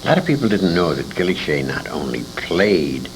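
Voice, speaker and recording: An older American man with a very low voice and lively swings in pitch, recalling the past in an interview. He rambles and feels around for names. The tape is noisy, with a low rumble under him. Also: moderate pace. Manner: rambling, searching memory for names. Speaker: interviewee, former radio actor. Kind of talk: reminiscing in an interview.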